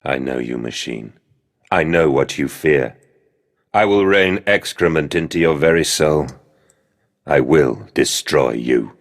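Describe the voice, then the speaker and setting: Slow, anguished, guilt-ridden father. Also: extremely low pitch, heavily acted. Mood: grim defiance. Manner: dramatic, despairing, vehement. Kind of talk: theatrical video game acting.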